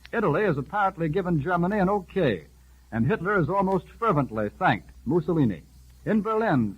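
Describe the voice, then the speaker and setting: A man reading a formal news bulletin for an old 1938 radio broadcast, urgent and rapid in his delivery. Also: fairly high pitch, husky, muffled recording. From United States. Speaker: radio newscaster.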